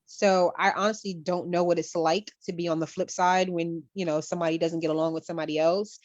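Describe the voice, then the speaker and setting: A woman with a fairly low voice chats casually as a guest actor. The sound is dry, dull and lo-fi. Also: fairly even intonation, brisk, a little mellow, no echo. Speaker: stage actor, interview guest. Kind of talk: casual panel chat.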